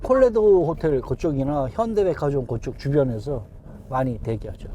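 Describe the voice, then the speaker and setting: A man's voice: medium pitch, animated, moderate pace, mellow, soft background music. A man in his fifties, a taxi driver, answering interview questions in an easygoing way and chuckling often. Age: 50s.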